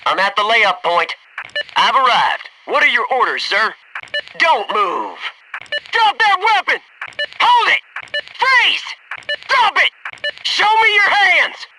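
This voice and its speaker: Game special forces soldier, really high for a man, clipped army talk, bit of a wiseass, clear noise on it. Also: very animated, slow pace, pauses often, heavily acted. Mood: commanding shouts. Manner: clipped, military radio-style phrasing. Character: loyal, wisecracking young male special forces soldier. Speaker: video game voice actor. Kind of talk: military shooter game voice lines.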